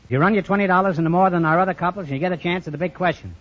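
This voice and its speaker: A man's voice: high voice, racing, fairly bright tone. A middle-aged American man hosting an old-time radio quiz show with jovial, teasing banter. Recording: muffled, very noisy vintage radio recording with clicks.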